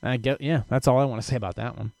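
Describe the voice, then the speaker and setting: A man hosting a casual solo podcast, giving blunt, animated advice on listener questions, with frequent restarts and swearing. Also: medium pitch, fast talker, vocal fry, no room sound.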